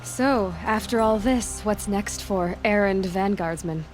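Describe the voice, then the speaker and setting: An actress playing a young huntress heroine in a video game cutscene, speaking naturally in a direct, plain-spoken way. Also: female voice, medium pitch, measured pace, soft background music, natural voice. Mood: teasing, friendly.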